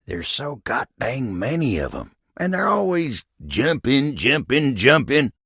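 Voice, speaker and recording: An actor voicing a bashful, folksy, old-fashioned talking table in a video game, with a gravelly voice and an American Southern, Western-style drawl. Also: male voice, mid-range voice, fairly bright tone, no room sound, clean studio sound, heavily acted. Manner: folksy, rambling, flustered hesitations. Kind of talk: video game character dialogue.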